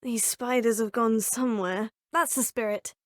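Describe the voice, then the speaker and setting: A woman's voice: on the high side, steady tone, normal speed, dry recording, clean studio sound, lightly acted. A woman with a British accent voicing a curious, polite young witch student in a video game. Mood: earnest. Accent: British English. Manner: polite, proper, crisp phrasing. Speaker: player character. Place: recording studio.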